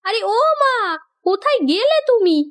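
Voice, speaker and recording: Young cartoon wife, earnest, caring, extremely high girlish pitch. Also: lively intonation, moderate pace. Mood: concerned. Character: gentle, dutiful young village wife and daughter. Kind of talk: cartoon voice acting.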